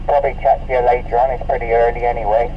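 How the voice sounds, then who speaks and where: A man's voice: mid-range voice, little pitch movement, racing. A man chatting casually over CB radio in a matey, informal way. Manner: matey, informal, acknowledging signals. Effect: CB radio transmission. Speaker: CB radio operator. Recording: muffled distant CB radio, faint noise.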